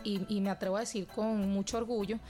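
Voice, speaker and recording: A Venezuelan woman with a mid-range voice speaks in an earnest, reflective way, weighing her words. She has the ease of a guest on an interview program. Soft music plays faintly behind her. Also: mid-range voice, fairly even intonation. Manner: earnest and reflective, with measured phrasing. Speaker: guest, cultural educator. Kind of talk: interview answers.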